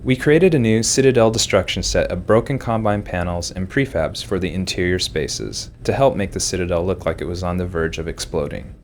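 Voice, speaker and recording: A man with a very low voice delivers scripted developer commentary. He sounds like he is explaining his own work from a prepared text. Noticeable background noise sits behind him. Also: a little fast, few pauses. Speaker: game developer giving commentary. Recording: clearly noisy background.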